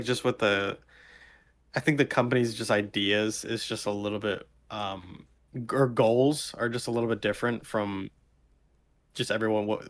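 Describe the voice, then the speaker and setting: A man's voice: on the low side, fairly bright tone. A man co-hosting a casual podcast chat, talking in loose slang and joking banter. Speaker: podcast co-host.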